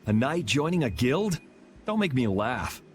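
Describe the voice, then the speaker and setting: Scornful guild member, animated pitch. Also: male voice, unhurried, a little hiss or hum. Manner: curt, dismissive. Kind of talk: English video game voice acting.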